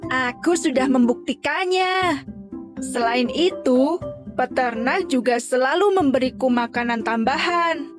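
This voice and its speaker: A woman's voice: sits high, unhurried, over music. A woman voicing a small, cheerful, self-assured pet dog who explains things confidently in a children's story. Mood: reassuring, confident. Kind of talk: children's storybook character acting.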